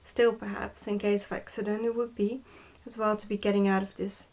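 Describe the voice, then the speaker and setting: A woman's voice: mid-range voice, level tone, brisk, darkish tone, lightly acted. A woman reading the part of a bossy, smug goblin father in a storybook, in a pompous explaining manner. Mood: gruff, dismissive.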